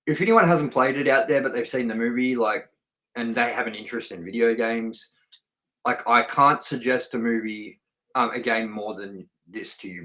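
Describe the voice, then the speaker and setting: Man, mid-pitched voice, casual review chat, informal and sweary, enthusiastic co-host. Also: sharp tone, poor audio. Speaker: co-host of a film review show.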